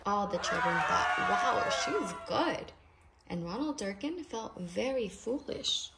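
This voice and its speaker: A woman's voice: mid-range voice, very animated, slow, loud music behind the voice. A woman reading a children's picture book aloud expressively, stretching key phrases with emphasis. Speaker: storybook reader. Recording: noisy home recording with clicks.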